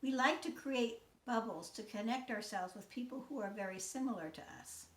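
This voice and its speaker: A woman in her sixties from the United States, a teacher giving a scripted talk in a measured, earnest way. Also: on the high side, a bit bright, reverberant. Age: 60s. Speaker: teacher and mentor. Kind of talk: scripted talk, read aloud.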